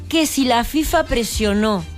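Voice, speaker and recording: A female contributor from lays out a story in an informal explainer style. Her voice is fairly high, with faint music underneath. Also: measured pace. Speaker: panel contributor presenting reports.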